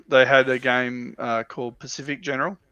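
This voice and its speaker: A man's voice: medium pitch, moderate pace, pushed and bright, dry recording, muffled recording. A man co-hosting a casual livestream chat, thinking aloud in a hesitant way. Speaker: livestream co-host. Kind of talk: casual panel chat about tabletop wargaming.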